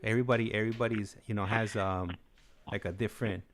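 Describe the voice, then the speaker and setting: Low-voiced, halting man hosting a livestream. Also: fairly even intonation, noisy background. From United States, Los Angeles area. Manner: halting, repetitive, peppered with "you know". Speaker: livestream host. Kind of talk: casual livestream chat.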